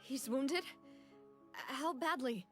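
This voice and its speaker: A woman voicing a composed young female leader in a video game, speaking in a measured, formal, grave manner. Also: high-pitched, soft background music. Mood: composed, commanding.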